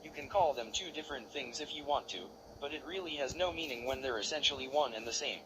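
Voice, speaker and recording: A man's voice: medium pitch, quick pace, background noise. A man hosting a commentary channel, mocking and insulting the person in the clips he plays back. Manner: taunting, insulting, scornful.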